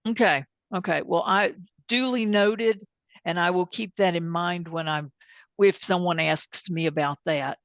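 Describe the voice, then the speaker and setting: A woman's voice: fairly low pitch, steady tone, unhurried, no echo. Plainspoken woman, dull close-up sound. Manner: plainspoken, candid about what she doesn't know. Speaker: presenter and tech support. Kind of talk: informal software demonstration with questions. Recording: dull, band-limited sound.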